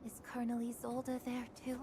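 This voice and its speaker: An actress playing an earnest young heroine in a dramatic video game, speaking seriously and clearly. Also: female voice, fairly high pitch, completely flat delivery, normal speed, heavy background noise, lightly acted. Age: young adult. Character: earnest young female proxy confronting a villain. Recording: very loud music behind.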